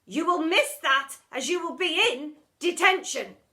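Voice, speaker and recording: A woman voicing a stern, cruel schoolmistress in a storybook, with imperious, drawn-out, emphatic pronouncements. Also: sits high, lively intonation, pushed and bright, pitched above the performer's own voice, full character voice. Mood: outraged, commanding. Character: stern, cruel, shrieking schoolmistress who relishes punishing children. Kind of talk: storybook character voice in read-aloud.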